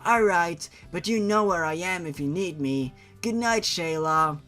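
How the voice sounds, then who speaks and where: Casting: shy, caring young boyfriend acted by a man, higher pitch, earnest, fan fiction drama. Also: measured pace, bright, forceful tone, faint music behind. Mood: concerned, tender.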